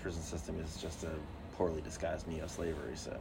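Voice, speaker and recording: A man's voice: extremely low pitch, little pitch movement, brisk, gravelly, a little mellow, slight background noise. A man co-hosting a podcast in casual chat, blunt and wry with plenty of fillers. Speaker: podcast co-host.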